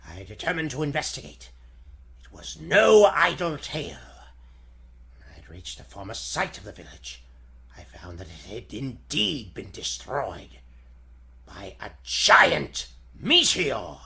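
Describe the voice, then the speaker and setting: A man playing a feeble, elderly, excitable scientist with a grandiose, formal delivery in a 1940s radio serial style. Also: mid-range voice, measured pace, creaky, heavily acted. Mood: grave, secretive. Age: older.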